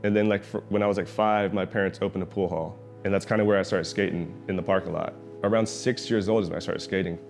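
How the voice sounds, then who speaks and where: A man's voice: low-pitched, fairly even intonation, fast, vocal fry, hardly any pauses, a little mellow, faint music behind. A middle-aged man from Oklahoma City whose first language was Vietnamese, telling his skating story in a loose, laid-back way, full of "like" and swearing. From Oklahoma City, USA. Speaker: professional skater, interviewee. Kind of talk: casual interview storytelling. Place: his restaurant.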